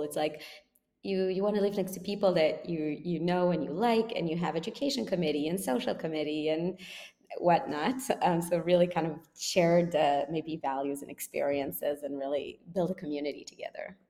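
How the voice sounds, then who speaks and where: A woman from Israel speaks in a lowish voice with a gravelly edge, recorded with a long reverb tail. She answers interview questions thoughtfully, filling her pauses with ums and restarting her sentences. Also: mellow. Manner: thoughtful, many ums and self-corrections. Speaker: startup CEO guest.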